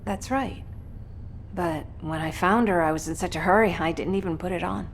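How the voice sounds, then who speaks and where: An actress playing a gentle, guilt-ridden mother and soldier in a video game, earnest and apologetic. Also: fairly low pitch, normal speed, a little hiss or hum, lightly acted. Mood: worried, tender. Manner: soft-hearted, earnest, apologetic. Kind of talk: dramatic video game voice acting.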